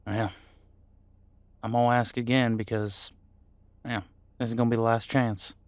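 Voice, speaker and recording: A man playing an easygoing demon homicide detective in an audio roleplay, talking in a casual, folksy, reassuring way with hesitant pauses. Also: medium pitch, little pitch movement, dry recording, close to an everyday voice. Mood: confident, reassuring, then focused. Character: easygoing male demon homicide detective mentoring new partner. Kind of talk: audio roleplay drama, conversational monologue.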